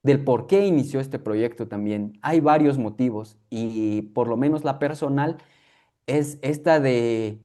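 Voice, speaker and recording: A man's voice: medium pitch, unhurried, dark tone. Reflective man with a K'iche' accent. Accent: K'iche'-influenced Guatemalan Spanish. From Guatemala. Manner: reflective, many fillers and restarts. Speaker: guest. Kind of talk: conversational interview.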